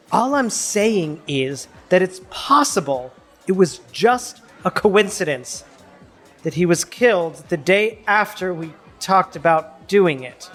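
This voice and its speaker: A man's voice: high-pitched, fairly bright tone, heavily acted. A man playing a nervous, defensive law firm associate in an audio drama, speaking hesitantly.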